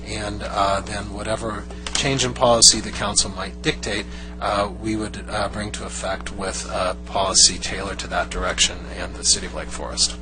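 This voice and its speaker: A man, a city staffer, explaining procedure formally in a careful, halting way. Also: on the low side, fairly even intonation, over music, rough recording. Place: council meeting chamber.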